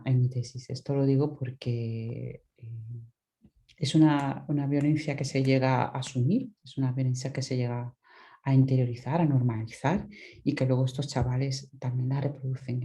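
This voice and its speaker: A woman's voice: rumbling low, mellow. A woman from the Dominican Republic presenting her research as an academic talk over a video call, thoughtful, with many restarts and tag questions. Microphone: video call microphone.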